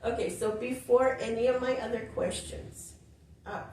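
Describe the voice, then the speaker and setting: Female interview host, slow giggly delivery, far from the mic, roomy echo. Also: on the high side, fairly even intonation. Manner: warm, giggly, slow and drawn out. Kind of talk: casual interview chat. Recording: roomy echo, distant mic, faint hiss.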